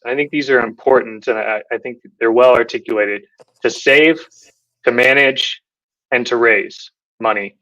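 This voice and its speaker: A man in an interview host role, recapping his guest's points in a measured voice of middling pitch. His speech is thoughtful and dotted with ums, and the audio sounds slightly dull and lo-fi. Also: a bit bright. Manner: thoughtful, many filler ums. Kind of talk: interview moderating, summarizing.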